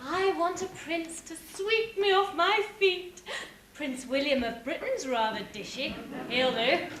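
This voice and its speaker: A spoilt young pantomime princess, played by a woman, haughty and declamatory. Her voice is very high and rings in an echoey hall with faint noise behind. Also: a little slow, fairly bright tone. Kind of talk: pantomime stage acting. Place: amateur stage show in a hall.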